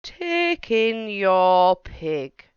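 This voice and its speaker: A woman voicing a calm, wise old man from a storybook, giving measured, drawn-out instructions. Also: medium pitch, clear, resonant tone, dry recording, muffled recording. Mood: calm, advising.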